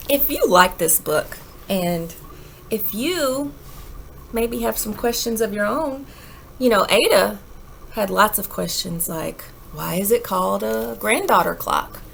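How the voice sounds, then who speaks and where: A woman reading a children's storybook aloud like a teacher, with dramatic pauses and emphatic phrasing. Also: mid-range voice, expressive, gravelly, fairly bright tone, a little hiss or hum, rough recording. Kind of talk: expressive children's read-aloud, rhyming storybook narration.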